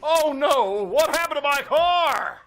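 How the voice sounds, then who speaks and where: A man plays an exasperated, wealthy neighbor in a vintage-style radio comedy. His high voice blusters and wails with melodrama. The live stage recording is clearly noisy. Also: animated, slow, heavily acted. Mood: aghast. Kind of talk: old-time radio comedy acting. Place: small-town theater stage, live performance.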